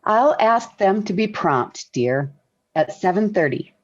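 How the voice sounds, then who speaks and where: An actress playing a calm, wry mother and wife, soothing and gently firm, in 1940s radio sitcom style. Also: medium pitch, takes it slowly. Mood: calmly persuasive. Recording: muffled old 1940s radio recording.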